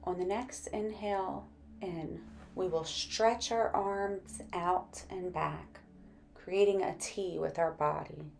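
A woman narrating a guided meditation in a gentle, soothing, unhurried manner. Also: lowish voice, fairly even intonation, creaky.

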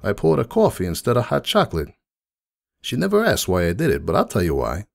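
A man's voice: lowish voice, huge pitch swings, a little fast, gravelly, full character voice. A man voicing a friendly, nostalgic unicorn donut shop owner in a dramatic fan fiction reading, chatty and folksy like someone reminiscing. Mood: fond, reminiscing.

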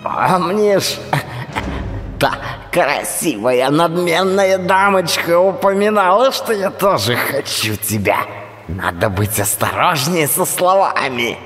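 Man putting on a high, gloating old crone in reverb. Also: very animated, a bit bright, heavily acted. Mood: gloating, mocking. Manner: slow, gloating, taunting. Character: lewd mocking ugly old woman in nightmare. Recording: long echoing reverb, music underneath.

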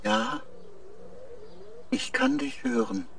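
High-pitched, halting male machine mind, acted by a man. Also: fairly even intonation, creaky, fairly bright tone, a little hiss or hum, full character voice. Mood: confused, subdued. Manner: halting, short hesitant answers. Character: confused male spacecraft artificial mind, dreamy and haunted. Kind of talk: science fiction audio drama. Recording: distant-sounding, with music behind.

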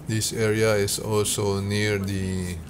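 A man from the Philippines, a vlogger casually narrating a city tour with many fillers and a halting manner. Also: lowish voice, little pitch movement. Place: outdoors on city streets, then bus upper deck. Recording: street noise behind.

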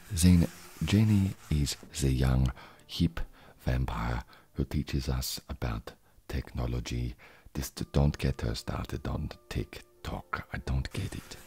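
A very low, slow-talking vampire acted by a man with a mock foreign vampire accent. He is soft-spoken, halting and awkwardly friendly, desperate to seem trendy in an ASMR comedy. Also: gravelly, reverberant, full character voice. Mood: fondly gossiping. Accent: put-on foreign vampire accent.

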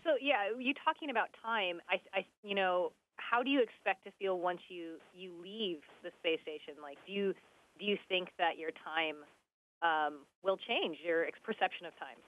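A woman podcast interviewer asking casual, informal questions with halting phrasing. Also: fairly low pitch. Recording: dull, band-limited remote link.

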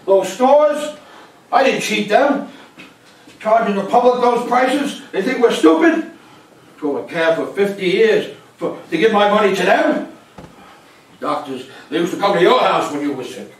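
An older man acting a stubborn, gruff 85-year-old grandfather, an immigrant former cabbie, in a live stage play. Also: high voice, animated, unhurried, pauses often. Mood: indignant. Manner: gruff, defensive, matter-of-fact. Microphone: far camera in the audience. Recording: echoey small theater, distant camera, faint hiss.

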